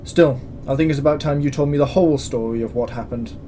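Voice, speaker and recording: A man playing a stern, measured space station commandant in a science-fiction audio drama, formal and dryly authoritative. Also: mid-range voice, dark tone, slight background noise, close to an everyday voice. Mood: stern, wry.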